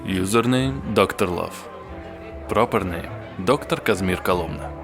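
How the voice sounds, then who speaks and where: Man acting a deep, smooth Russian-accented doctor. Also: normal speed. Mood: formal, courteous. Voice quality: smooth, rumbling. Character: smooth, tall, wealthy young Russian doctor, formal and self-assured. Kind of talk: romance audio drama acting. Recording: prominent music under the voice.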